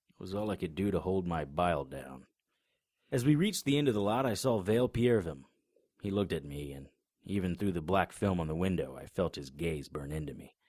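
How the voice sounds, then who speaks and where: A man's voice: deep voice, a little mellow, no room sound, clean studio sound. A man narrating an audio drama in the first person, wry and matter-of-fact. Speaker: narrator and lead actor.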